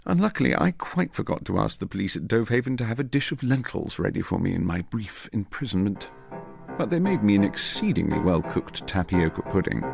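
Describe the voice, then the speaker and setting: A man reading as a self-assured British gentleman agent who recounts a clever trick in an urbane, methodical way. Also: lowish voice, big pitch swings, a little fast, vocal fry, soft background music, full character voice. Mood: dryly amused. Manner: urbane, methodical, quietly pleased with himself.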